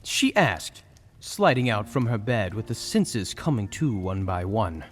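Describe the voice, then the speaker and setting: A man's voice: fairly low pitch, very animated, unhurried. A man narrating a fantasy story in a dramatic, scripted storytelling style. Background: soft music.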